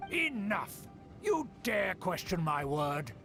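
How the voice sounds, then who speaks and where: An actor playing a haughty, scheming young aristocrat who talks in a pompous, indignant way. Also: male voice, high-pitched, big pitch swings, takes it slowly, vocal fry, a bit bright, heavily acted. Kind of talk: video game voice acting. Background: game score music.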